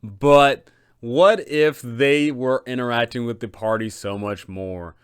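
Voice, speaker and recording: A man's voice: medium pitch, takes it slowly, smooth, no echo, clean studio sound. A man hosting an enthusiastic explainer for tabletop game masters, with a dramatic, emphatic storytelling delivery. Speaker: host, tabletop game advisor.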